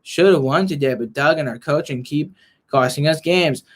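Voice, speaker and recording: A young man ranting as a frustrated sports fan vlogger, hoarse and losing his voice, reading and answering chat. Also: on the high side, normal speed. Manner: frustrated, heated rant.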